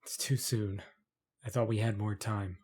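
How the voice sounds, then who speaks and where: A man playing a tired, guarded fugitive in a fantasy audio drama, speaking in a low-key way. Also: level tone, measured pace, a little mellow, husky. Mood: worried, guarded. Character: weary, wary fugitive man in a fantasy town.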